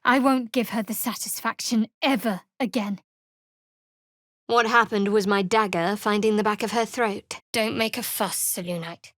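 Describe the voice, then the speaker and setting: A woman voicing a guarded, secretive young cleric of a dark goddess in a fantasy video game, with a measured, dryly cool delivery. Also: mid-range voice, steady tone, dry recording, studio quality, close to an everyday voice. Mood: earnest, resolute, then cold, disdainful. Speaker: video game character actor.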